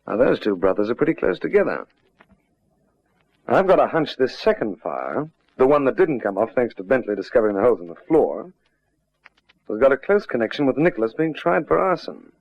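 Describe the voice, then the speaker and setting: Dry, steady detective sergeant, lo-fi. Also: male voice, medium pitch, creaky, no echo. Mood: thoughtful. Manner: measured, pressing, dry. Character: steady, dryly confident male police detective sergeant. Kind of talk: old radio drama acting. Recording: dry, dull lo-fi old radio recording.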